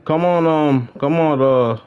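A young adult man chatting casually as he streams a game, slangy and excitable, often repeating his phrases. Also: fairly high pitch, a little slow, clean tone, highs cut off. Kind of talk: casual livestream gaming chat.

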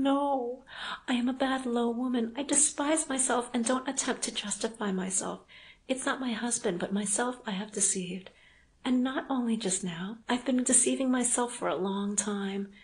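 A woman reads this part as an anguished young wife in an audiobook, her voice lifted a little higher than the narration. She pleads in an emotional rush, full of remorse. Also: a little mellow, lightly acted. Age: young adult. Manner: pleading, emotional, breathless urgency. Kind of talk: audiobook character voice.

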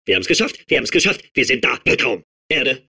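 A man playing a manic, childlike robot sphere obsessed with outer space in a video game, babbling and repeating himself in a strained, husky voice. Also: mid-range voice, quick pace, exaggerated voice. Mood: excited, then homesick, pleading. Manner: excitable, babbling, repeating words compulsively. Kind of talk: video game character voice clips.

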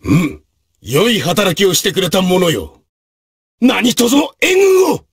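An actor voicing a brave, martial samurai officer in a video game, with gruff, raspy, declamatory delivery in archaic period speech. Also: male voice, high-pitched, wildly swooping pitch, slow, exaggerated voice. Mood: grave. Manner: forceful, declamatory.

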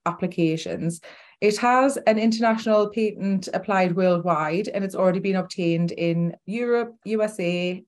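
A woman's voice: medium pitch, darkish tone. A woman in her forties with a Newcastle Geordie accent, presenting an informal product webinar.

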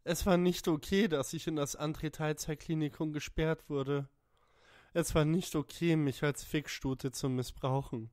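A man's voice: on the high side, steady tone, unhurried, a little mellow, dry recording, clean studio sound, natural voice. A man playing an aggrieved, whining psychiatric patient who repeats himself plaintively in a comic audio play. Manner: plaintive, repetitive.

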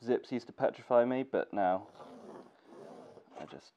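A man's voice: mid-range voice, measured pace. A young man with a cold, sounding congested, casually talking through a sewing tutorial in his workshop. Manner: relaxed, thinking aloud with fillers. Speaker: gear maker teaching sewing. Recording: dull lo-fi camera audio with faint noise.

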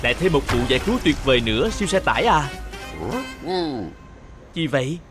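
A man's voice: on the high side, animated, fairly quick. A man narrating a children's cartoon in speech, talking to the characters like a storyteller for young children. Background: music.

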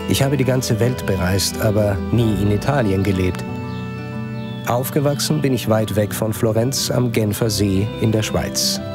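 A man giving calm, measured scripted narration for a documentary voice-over. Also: fairly low pitch. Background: music under the narration.

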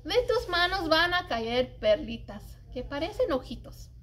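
A middle-aged woman reading a children's poem aloud in an expressive, singsong, deliberate way, as a story-time librarian. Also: high-pitched, a little hiss or hum. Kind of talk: animated read-aloud of a children's cooking poem. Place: indoors, public library children's area.

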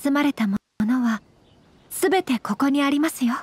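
A woman's voice: sits high, moderate pace, a little mellow, no echo, close to an everyday voice. A woman playing a poised young noblewoman and swordswoman in an anime game, formal, composed and very polite. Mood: gentle, courteous. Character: poised, courteous young noblewoman swordswoman, gentle but firm. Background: background music.

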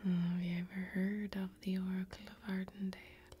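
A young woman playing a mysterious, self-assured warrior in a soft-spoken ASMR fantasy role-play, breathy and unhurried, with old-fashioned phrasing. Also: mid-range voice, flat delivery, faint music behind, natural voice. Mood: hushed, secretive. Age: young adult. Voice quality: breathy, whispered.

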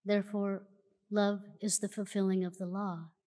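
A woman reading scripture aloud at a church lectern in a measured, solemn way, recorded in a large church auditorium. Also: mid-range voice, little pitch movement, warm tone. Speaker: church reader. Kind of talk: slow formal scripture reading. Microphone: lectern mic from a far camera. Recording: long church hall reverb.

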